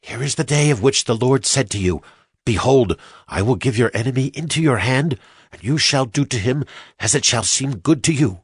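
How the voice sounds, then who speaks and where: A man acting a loyal soldier in a dramatized Bible story, urging his leader in a measured, solemn way. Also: mid-range voice, animated, slightly rough, no room sound, clean studio sound.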